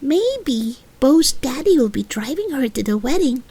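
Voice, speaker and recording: A woman voicing a comforting, hopeful little male stuffed elephant in a children's storybook, with encouraging, drawn-out delivery. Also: high voice, big pitch swings, mellow, voice pushed up, heavily acted. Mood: excitedly scheming. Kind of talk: animated children's storybook reading.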